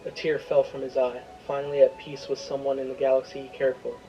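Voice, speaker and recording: A man's voice: medium pitch, completely flat delivery, quick pace, highs cut off. A man reading a scripted, dramatic story as its narrator. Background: prominent music.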